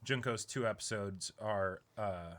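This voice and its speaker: A young man hosting an online video, casually reading aloud in a halting, chuckling way while seated indoors. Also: fairly low pitch, fairly bright tone. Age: young adult. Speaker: YouTube unboxing host. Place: small indoor room, seated.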